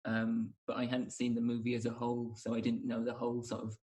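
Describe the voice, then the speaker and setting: Guy co-hosting a podcast, average pitch, casual chat, kinda measured and thoughtful. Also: completely flat delivery, dark tone. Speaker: podcast co-host.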